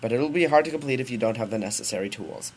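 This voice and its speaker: A man voicing a bumbling, excitable older scientist father in a deliberately bad British accent, with a loud, projected voice. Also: mid-range voice, slight background noise, close to an everyday voice. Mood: eager.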